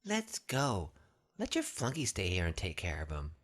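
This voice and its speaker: A man's voice: sits low, huge pitch swings, moderate pace. A man voicing a vain, impatient vampire clubgoer in a comic-book cartoon. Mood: impatient, bored. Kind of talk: motion comic voice acting.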